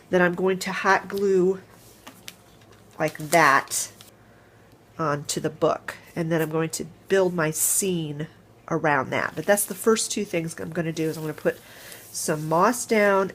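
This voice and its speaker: A woman's voice: fairly low pitch, a little hiss or hum. A woman hosting a casual home craft tutorial, explaining each step as she thinks aloud, with a congested, stuffed-up nose. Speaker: crafting channel host.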